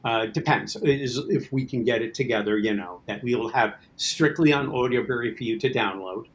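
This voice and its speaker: A man's voice: medium pitch, brisk, a little hiss or hum, rough recording. A man speaking as a guest in a casual promotional interview, talking in a rambling, conversational way about his creative work. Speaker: guest, writer-producer of an audio drama.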